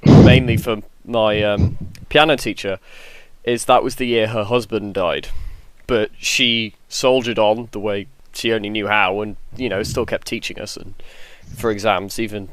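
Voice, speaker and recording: A man's voice: lowish voice, steady tone, a little slow, no room sound, rough recording. A man speaking casually and earnestly as a panelist on a podcast, in a reflective way.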